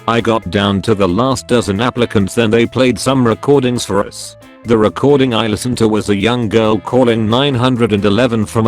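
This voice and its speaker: A man reading first-person written stories aloud as a scripted narrator. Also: fairly low pitch. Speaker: narrator reading online forum posts.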